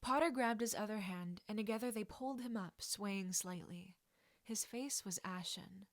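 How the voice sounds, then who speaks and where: A woman's voice: medium pitch, normal speed, dry recording, clean studio sound. A woman reading a scripted piece of fiction aloud as a narrator. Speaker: podfic narrator.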